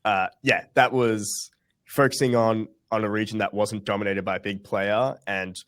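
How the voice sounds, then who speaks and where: A man's voice: on the low side, fairly even intonation, moderate pace, a bit bright, no echo. A young man in a webinar interview, talking casually with lots of ums and restarts. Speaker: guest founder.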